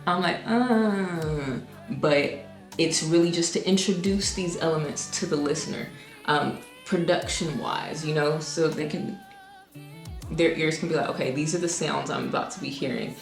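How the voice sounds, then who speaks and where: A young woman, a singer-songwriter, casually explains her own song with many ums and restarts and now and then sings a note; her voice is low. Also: normal speed, gravelly, reverberant, soft background music. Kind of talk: casual music breakdown.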